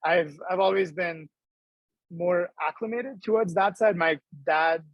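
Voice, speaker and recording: Young man, startup founder, video call, slightly high voice, dry sound, quick animated talk. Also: dry recording, dull audio. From Dubai. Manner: quick, animated, laughs between thoughts. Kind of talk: casual video-call interview. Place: indoor room.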